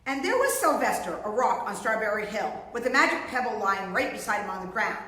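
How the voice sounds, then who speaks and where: A woman's voice: mid-range voice, sing-song, brisk, a bit bright. A middle-aged woman reading a picture book aloud to young children, theatrical and explanatory. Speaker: children's storyteller. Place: indoors before a painted storybook backdrop. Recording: very echoey, reverberant room.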